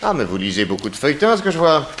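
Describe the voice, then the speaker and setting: An actor playing a shrewd, authoritative private detective with dry irony in a 1960s radio drama. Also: male voice, on the high side, sing-song, racing, fairly bright tone, slight background noise. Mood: dry, commanding.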